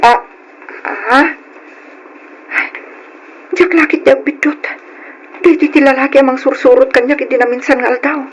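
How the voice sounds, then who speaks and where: Actress as timid young migrant sister, noisy recording. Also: female voice, high-pitched, fairly even intonation, normal speed, pauses often, close to an everyday voice. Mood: nervous. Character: young Filipina migrant worker, caring older sister, timid. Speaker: narrator and lead of a letter drama. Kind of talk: old Philippine radio drama acting. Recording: noisy old radio drama recording.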